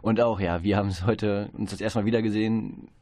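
A man in his twenties, a trainee educator guest on radio, explaining in a relaxed way. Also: lowish voice, fairly quick, no echo. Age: 20s.

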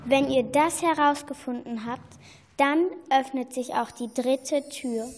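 A woman playing a sorceress who sets riddles in an amateur radio play, instructing in a deliberate, patient manner. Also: high voice. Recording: echoey hall with long reverb.

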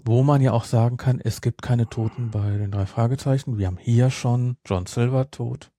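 A man's voice: mid-range voice, normal speed, warm tone, no room sound, studio quality. A man chatting casually as a podcast co-host, rambling and repeating his phrases. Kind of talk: casual chat.

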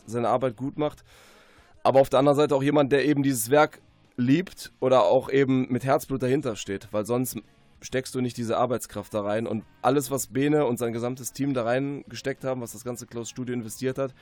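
A dry-sounding male voice with soft music behind it. He talks as an author in a radio interview, reflective and emphatic, in a conversational flow. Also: medium pitch, no room sound. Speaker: fantasy author, interview guest.

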